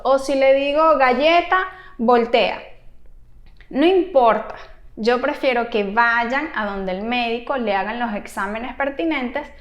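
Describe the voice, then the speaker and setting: A woman speaking as a therapist who gives advice to parents in an explanatory, animated and emphatic way. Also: high-pitched. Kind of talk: explanatory video advice to camera. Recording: roomy, echoey room sound.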